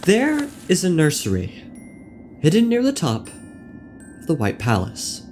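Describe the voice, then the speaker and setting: A man narrating a scripted video essay on game lore, with wry, deadpan asides. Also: fairly high pitch, a little slow. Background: music.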